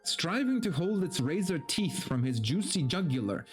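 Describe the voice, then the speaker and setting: A man's voice: a little high, very animated, moderate pace, faint music behind. A man streaming a dramatic story reading, with theatrical narration and casual, mock-exasperated asides.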